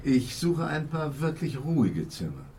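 An actor playing a genteel, weary and odd gentleman scientist in a radio drama, formal, courteous and deliberate. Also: a little high, very animated, a little hiss or hum. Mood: polite, reserved. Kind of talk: German radio drama acting. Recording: roomy binaural interior.

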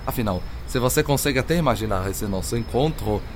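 A man's voice: medium pitch, animated, fast, darkish tone, a little hiss or hum, lightly acted. A man playing a courteous, encouraging elderly physicist in an educational radio drama, speaking formally.

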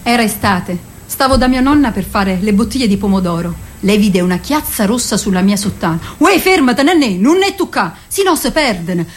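An actress playing a lively, earthy woman in a radio play. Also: medium pitch, moderate pace, hardly any pauses, close to an everyday voice. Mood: animated recounting. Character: lively, earthy southern Italian woman, exclaiming and teasing. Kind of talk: theatrical radio drama dialogue.

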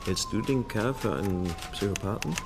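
An actor playing a brooding, intuitive police detective in a radio crime drama, thinking aloud with insistent questions. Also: male voice, lowish voice, lively intonation, moderate pace, background noise. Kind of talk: naturalistic German radio crime drama acting.

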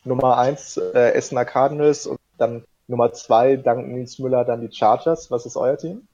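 A man with a slightly husky voice talks eagerly and rambles, piling on fillers. The audio is muffled and lo-fi. It has the feel of a casual podcast guest spot. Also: medium pitch, fairly even intonation, moderate pace, dark tone. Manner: enthusiastic, rambling, laughs into sentences. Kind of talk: casual chat.

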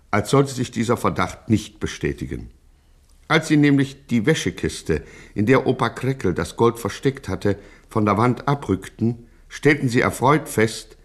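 An actor playing a grandiloquent narrator of a gangster satire, speaking in a pompous, mock-solemn way. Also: male voice, on the low side. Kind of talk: scripted satirical radio narration.

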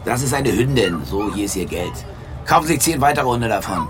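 A man's voice: lowish voice, sing-song, brisk. A man playing a domineering, wealthy lover in a radio play, bossy and sneering with a jovial edge. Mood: scornful. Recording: music behind the dialogue.

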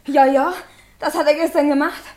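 Woman acting bitter carter's wife, Silesian accent, fairly high voice, blunt, defiant, naturalistic radio drama. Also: steady tone, moderate pace, darkish tone, close to an everyday voice. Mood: accusing.

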